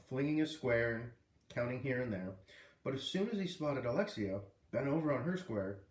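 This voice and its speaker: A man reading a children's novel aloud in an expressive, animated way, with casual asides. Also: mid-range voice. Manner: animated, puts on character voices. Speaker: read-aloud host. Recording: roomy, distant microphone.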